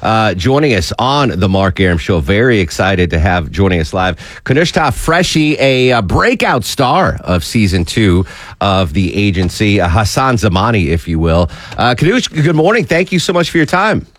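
A man's voice: on the low side, big pitch swings, moderate pace, no room sound. A man hosting a radio show, interviewing eagerly and rambling, with many fillers and restarts.

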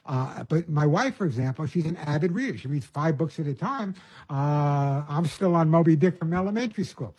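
A man's voice: on the high side, lively intonation, brisk, a little mellow, slightly rough, no echo. An older man from Long Island, a pet show host and dog trainer, talking casually in a rambling way with restarts and folksy asides. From Long Island, New York. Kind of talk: casual monologue.

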